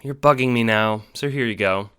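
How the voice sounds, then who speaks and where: A man puts on a mocking alien troll teenager for an audio drama. The voice is sharp and forceful, pushed up from his deep natural register. The delivery is sneering, bossy and exasperated, with theatrical flair. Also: normal speed, clear, resonant tone, lightly acted.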